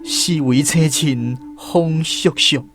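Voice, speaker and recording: A man's voice: on the high side, takes it slowly, fairly bright tone, faint music behind. A man with a Taiwanese accent playing a fearful, lost soul in a radio drama, pleading and lamenting theatrically. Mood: anguished. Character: anguished lost man, fearful and searching for peace.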